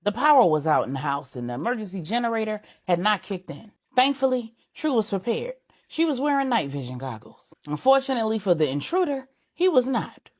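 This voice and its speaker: A woman storyteller reading a dramatic scripted story, phrasing it deliberately in short units. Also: mid-range voice, big pitch swings, a little mellow. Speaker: storyteller, narrator.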